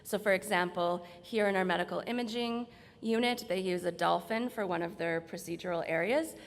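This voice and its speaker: A woman with a slightly hoarse voice gives an informal, explanatory slide presentation in a large room. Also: on the low side, fairly quick. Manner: explanatory, conversational. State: slightly hoarse, losing her voice. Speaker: presenter from hospital staff. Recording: reverberant hall.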